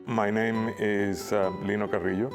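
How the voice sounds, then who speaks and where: A man in his fifties from Venezuela, with a Venezuelan Spanish accent, speaking hesitantly with frequent pauses and repeated words in a documentary interview. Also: on the low side, level tone. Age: 50s. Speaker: former oil worker, interviewee. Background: soft guitar music.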